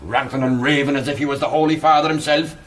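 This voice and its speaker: An actor playing a crusty, folksy country horse handler in a period radio drama, grumbling and coaxing. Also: male voice, medium pitch, steady tone, quick pace, a bit bright, recorded from a distance, close to an everyday voice. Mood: scornful, grumbling. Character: crusty, affectionate male rustic horse handler.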